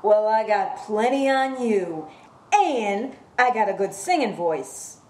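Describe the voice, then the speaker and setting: A woman's voice: medium pitch, expressive, takes it slowly, darkish tone, reverberant. A woman playing a sassy, tough dame with a scolding, mocking manner in a noir radio comedy. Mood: scornful.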